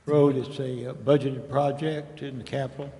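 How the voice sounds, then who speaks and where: A man's voice: medium pitch, level tone, moderate pace, warm tone. A man working as city staff, explaining a project informally at a public meeting. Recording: reverberant council chamber, faint noise.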